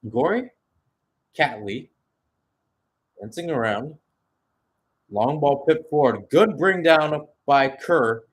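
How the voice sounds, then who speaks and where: A man's voice: on the high side, expressive, slow pace, long pauses. A man giving live play-by-play commentary on a soccer match, conversational between calls with asides and statistics.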